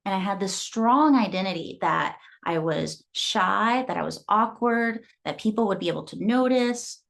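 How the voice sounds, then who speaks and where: A woman from Guatemala, about thirty-something, speaks as a fitness coach in an earnest storytelling way. Her voice sits at an everyday middle pitch for a woman. She moves from one client story to the next in a motivational talk. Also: lively intonation, moderate pace, vocal fry. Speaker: fitness coach and gym owner.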